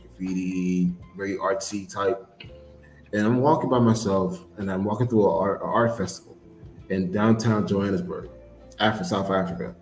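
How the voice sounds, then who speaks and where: Laid-back low-voiced man in an echoey room. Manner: relaxed storyteller, restarts with repeated words. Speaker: podcast co-host. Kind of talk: casual chat.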